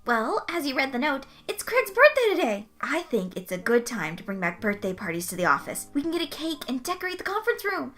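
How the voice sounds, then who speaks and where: A woman's voice: high voice, very animated, fairly quick, few pauses, pushed and bright, voice pushed up, full character voice. A woman voicing a cheery, earnest young male accountant in an audiobook, eager and folksy. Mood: excited. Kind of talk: audiobook character voice.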